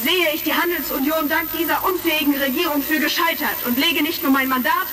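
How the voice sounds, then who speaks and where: A woman's voice: high voice, steady tone, fairly quick, over music, natural voice. A woman playing a proud, accusing senator making formal oratory in a retro sci-fi audio drama. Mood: indignant. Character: proud, accusing, ambitious female galactic senator. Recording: crackly vintage vinyl-style recording, distant.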